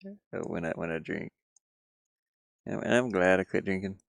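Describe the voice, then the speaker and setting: Male game streamer, low gravelly voice, loose rambling chat, close and dry. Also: moderate pace, no echo. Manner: rambling, mutters to himself while working. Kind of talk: casual live-stream chat.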